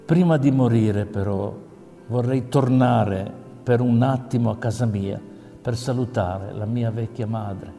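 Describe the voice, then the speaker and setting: A man voicing a frightened young man in a fairy tale, pleading, louder and higher than his narration. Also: a little slow, creaky, in a large hall, soft background music.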